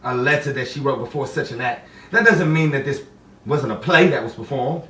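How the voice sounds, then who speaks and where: An actor playing a grief-stricken, brooding man tormented by lost love, with halting, theatrical delivery. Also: mid-range voice, lively intonation, a bit bright, close to an everyday voice. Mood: agitated disbelief. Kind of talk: 1950s-style romantic drama monologue and dialogue. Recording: roomy, distant, faintly noisy stage sound.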